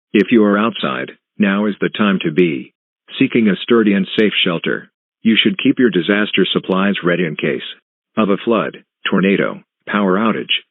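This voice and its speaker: Male emergency alert announcer: low pitch, formal measured delivery, tinny phone-like sound. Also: dry recording. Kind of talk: scripted emergency weather warning announcement. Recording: narrow, phone-like band.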